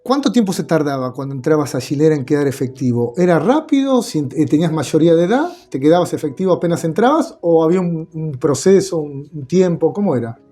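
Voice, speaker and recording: A man's voice: a little high, animated, quick pace, hardly any pauses, warm tone, soft background music. Enthusiastic male host. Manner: enthusiastic, chatty. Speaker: host, interviewer. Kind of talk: casual interview.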